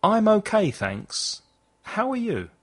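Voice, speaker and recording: A man hosting a language podcast, reading a scripted lesson and saying model phrases slowly and clearly for listeners to repeat. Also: high voice, huge pitch swings. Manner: slow, carefully articulated model phrases for repetition. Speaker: English teacher, podcast host.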